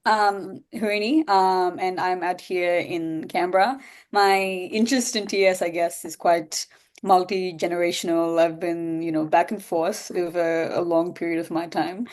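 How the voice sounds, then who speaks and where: A woman introducing herself softly, her phrases trailing off. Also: lowish voice, fairly even intonation, measured pace. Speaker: debate panelist. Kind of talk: casual self-introduction.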